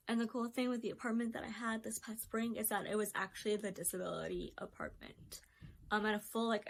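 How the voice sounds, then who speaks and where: A woman in her twenties talking through her recent years in a casual recap, filling pauses with um and like. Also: fairly high pitch. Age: 20s. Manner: rambling, many ums and likes. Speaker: vlogger.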